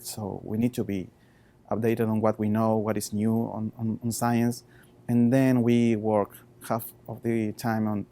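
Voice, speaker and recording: A man in his thirties with a Chilean Spanish accent, a researcher talking informally about his work in halting non-native English. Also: lowish voice, mellow. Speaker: postdoctoral researcher.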